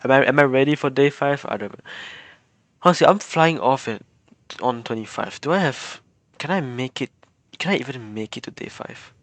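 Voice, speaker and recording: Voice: medium pitch, moderate pace, dry recording. A streamer giving casual, giggly gameplay commentary and often exclaiming to themselves. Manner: exclaiming, giggly, self-talking. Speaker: streamer playing a game. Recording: faint game music behind. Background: game music and dialogue.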